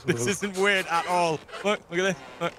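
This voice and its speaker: A young man playing a co-op game, excitable and joking with mock outrage. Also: sits high, normal speed, noisy background. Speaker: co-op gaming YouTuber guest. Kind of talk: casual co-op gameplay chat.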